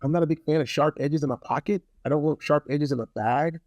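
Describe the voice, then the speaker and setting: A man's voice: a little high, fast talker, darkish tone, no room sound. A man talking casually to viewers in a rambling, blunt product review, often repeating phrases for emphasis. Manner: opinionated, blunt.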